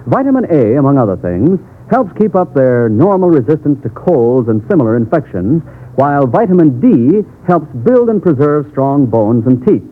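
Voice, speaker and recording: A man's voice: fairly high pitch, very animated, normal speed, phone-quality sound. An American man reading a scripted 1940s radio advertisement, earnest and persuasive. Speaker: commercial announcer. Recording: thin, band-limited vintage radio broadcast.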